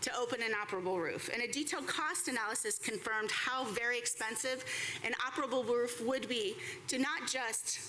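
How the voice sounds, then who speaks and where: Bright, high-voiced woman giving a presentation. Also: rough recording. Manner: enthusiastic, conversational. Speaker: baseball team representative presenting at a press conference. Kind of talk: prepared presentation speech with informal asides. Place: indoor press conference room before an audience. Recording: live room with clear background noise.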